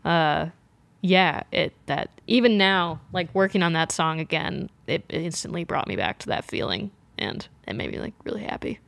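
A woman musician being interviewed, talking in a soft-spoken, easygoing way and laughing easily. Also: deep voice, animated, normal speed, gravelly. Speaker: musician guest. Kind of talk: casual interview chat.

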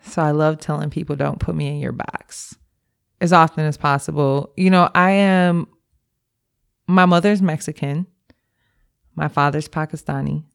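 A woman's voice: deep voice, steady tone, moderate pace, vocal fry, pauses often. A woman from Milwaukee, an executive director, answering interview questions in a candid, emphatic, slangy way. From Milwaukee, USA.

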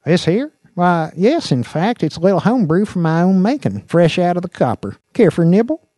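A man's voice: high-pitched, sing-song, fairly quick, mellow, no echo, studio quality, pitched above the performer's own voice. A man voicing a scruffy, unfazed moonshiner in an audiobook, with an American country accent and a sly, folksy manner.

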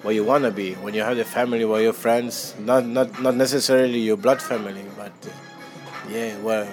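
A man's voice: lowish voice, fairly even intonation, brisk, a little mellow, faint music behind. A man from Chile who runs a hostel, answering interview questions casually with many fillers and restarts. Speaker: hostel owner.

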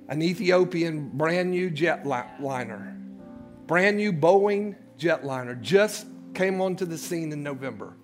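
High-pitched preaching man, soft music behind. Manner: preaching with big swings between loud shouts and hushed low passages. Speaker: pastor. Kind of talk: sermon.

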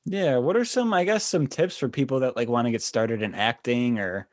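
A man's voice: mid-range voice, moderate pace, no room sound, studio quality. A young American man hosting a podcast, asking questions casually in a loose, hesitant, rambling way. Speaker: podcast host. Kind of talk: casual chat.

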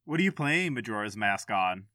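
A man's voice: on the low side, very animated, moderate pace, pushed and bright, no echo, studio quality. A man on a gaming podcast, chatting casually and putting questions to the others. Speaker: video game podcast panelist. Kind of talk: casual chat, asking questions.

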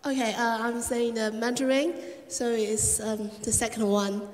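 A woman's voice: fairly high pitch, steady tone, a little slow, a little mellow, slight background noise. A woman speaking as a church intern and volunteer, introducing herself in a hesitant, informal way. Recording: echoey church hall, reverberant.

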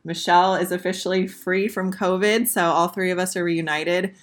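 Low-voiced friendly podcast host woman. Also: steady tone, moderate pace. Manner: friendly, enthusiastic, with a few restarts. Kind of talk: casual podcast interview. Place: home studio.

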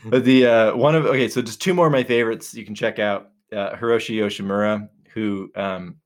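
A man co-hosting a podcast, chatting casually in a relaxed, rambling way with many fillers and restarts. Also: lowish voice, normal speed, pauses often. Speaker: podcast co-host. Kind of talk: casual chat.

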